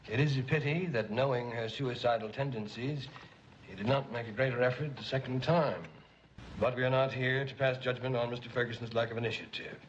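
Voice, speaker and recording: An actor in 1950s Hollywood film style, playing a formal coroner who speaks in a measured, judgmental manner. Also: male voice, mid-range voice, close to an everyday voice. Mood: disapproving. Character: stern, formal coroner addressing a jury. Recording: dull old film soundtrack with noise.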